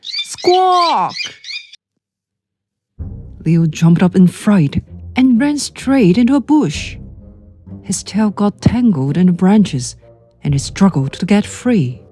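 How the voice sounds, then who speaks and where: A woman narrating a children's storybook as a storyteller, with deliberate, expressive phrasing. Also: mid-range voice. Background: background music.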